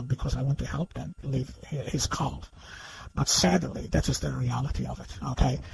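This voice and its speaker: A man giving unscripted, argumentative commentary in a halting but emphatic way, repeatedly seeking agreement. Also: fairly high pitch, vocal fry, low-quality recording. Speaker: online video commentator. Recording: faint background noise.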